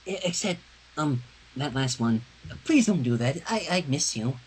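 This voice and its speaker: A man voicing a timid, soft-hearted teenage girl from a cartoon fan story, gentle, pleading and hesitant. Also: a little high, lively intonation, a little slow, husky, pitched below the performer's own voice, rough recording. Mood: shy pleading. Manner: hesitant, stammering.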